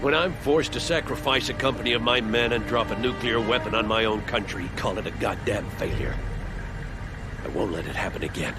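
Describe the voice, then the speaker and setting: An actor playing a hardened, guilt-ridden army colonel who gives gruff orders. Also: male voice, fairly low pitch, a little fast, over music, close to an everyday voice. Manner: gruff and commanding.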